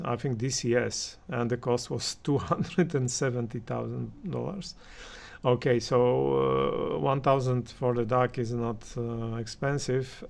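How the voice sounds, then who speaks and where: A man giving unscripted hi-fi commentary as a reviewer, speaking haltingly with many pauses. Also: mid-range voice, creaky. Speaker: audio reviewer and channel host. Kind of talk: unscripted opinion commentary on hi-fi gear.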